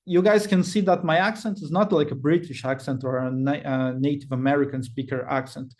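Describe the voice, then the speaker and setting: A man's voice: on the high side, fairly even intonation, brisk, a little mellow. A man in his thirties with a Brazilian accent, giving a casual webinar talk with many fillers and restarts. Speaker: webinar speaker. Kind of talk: casual presentation.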